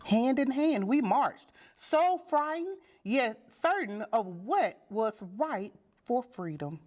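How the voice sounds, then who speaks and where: A woman reading a children's storybook aloud with deliberate, dramatic and expressive phrasing. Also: a little high. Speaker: storybook reader.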